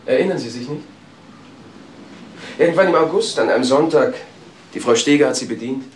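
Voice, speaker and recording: A man plays a measured police detective in a crime radio drama. His medium voice presses on deliberately and insistently. The room around him echoes. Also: medium pitch, a little hiss or hum, close to an everyday voice. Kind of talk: German crime radio play acting.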